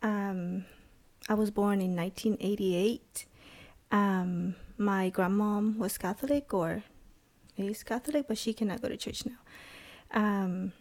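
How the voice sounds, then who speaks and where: A woman in her thirties from El Salvador with a Salvadoran Spanish accent, sharing her personal testimony as a podcast guest in a halting way, with many pauses and restarts. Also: mid-range voice, darkish tone. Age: 30s.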